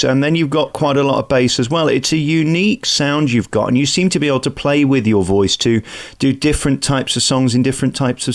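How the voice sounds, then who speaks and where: A man works as a radio interviewer, his voice sitting at an average pitch. He puts his questions conversationally, in a cheeky, self-deprecating way.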